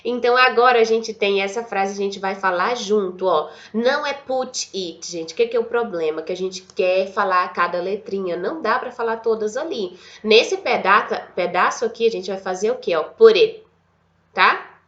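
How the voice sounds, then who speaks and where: A Brazilian woman with a cold leads an online English lesson in a chatty way, reading aloud and drilling pronunciation. Her pitch sits in the middle for a woman. The recording is a little dull and lo-fi. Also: brisk, fairly bright tone. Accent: Brazilian Portuguese. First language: Portuguese. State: has a cold. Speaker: English teacher.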